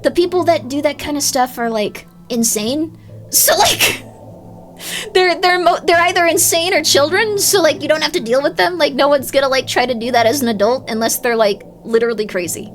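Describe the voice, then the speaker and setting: A young woman streaming live, chatting casually with viewers and reading their comments, rambling with many filler words. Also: moderate pace. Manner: rambling, lots of "like" fillers, laughs often, swings up and down in pitch.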